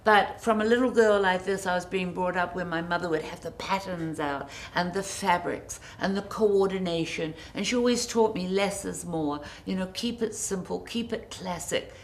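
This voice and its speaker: A middle-aged woman, a design guest on a TV studio interview, speaking graciously with effusive, drawn-out emphasis. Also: lowish voice, slight background noise. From New Zealand. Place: TV studio set.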